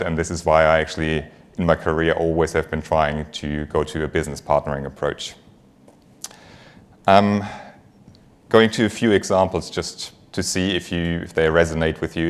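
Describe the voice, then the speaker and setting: Deep-voiced man with a German accent. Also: steady tone, moderate pace. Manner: conversational, engaging, light humour with the audience. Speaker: finance executive giving a conference talk. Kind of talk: conference presentation.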